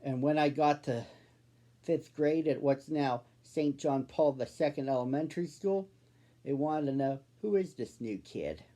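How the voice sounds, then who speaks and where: A man's voice: on the high side, steady tone, measured pace, mellow. A man in his thirties hosting and reading a storybook aloud for children. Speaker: storybook reader, host.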